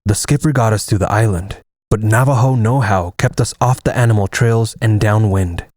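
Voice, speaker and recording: Low, dry-recorded male actor as a war veteran narrator, measured and reflective, for an adventure drama. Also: darkish tone, dry recording, close to an everyday voice. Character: weathered American soldier narrating a wartime jungle adventure.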